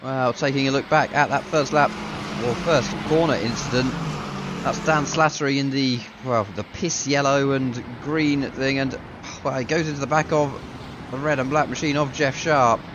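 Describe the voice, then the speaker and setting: A man with a self-mocking, casual manner commentates a league sim race, a bit ill with a deeper voice than usual. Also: fairly even intonation, moderate pace, noisy background.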